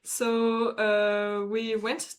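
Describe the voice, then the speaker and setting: A nineteen-year-old French woman speaking English with a French accent, halting and searching for words as a guest volunteer. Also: on the high side, completely flat delivery. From France.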